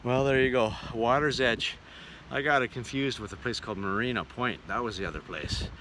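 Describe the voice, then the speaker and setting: A man's voice: medium pitch, fairly quick, sharp tone, a little hiss or hum. A man who entertains as a touring singer and show host, chatting casually in a folksy way as in a travel vlog or between songs.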